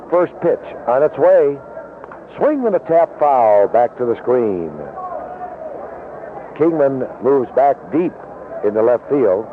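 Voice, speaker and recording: A man calling baseball play-by-play for radio in clipped, descriptive phrases with pauses between plays. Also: mid-range voice. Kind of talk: live sports commentary. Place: outdoor ballpark broadcast booth. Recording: noisy narrow-band 1970s AM radio broadcast.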